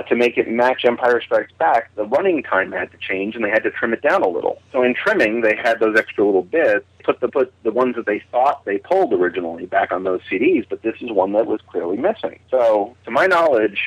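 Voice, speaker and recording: A man's voice: fairly low pitch, dry recording. A man in a casual, enthusiastic discussion, emphatic and opinionated, as a guest expert. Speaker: guest audio drama expert. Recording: muffled telephone-like call.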